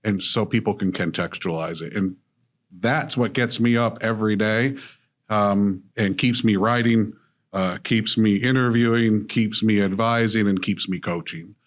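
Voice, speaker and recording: A man's voice: fairly low pitch, unhurried. A middle-aged man from Illinois, an author and business coach, speaking steadily and reflectively as a podcast guest. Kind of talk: conversational interview.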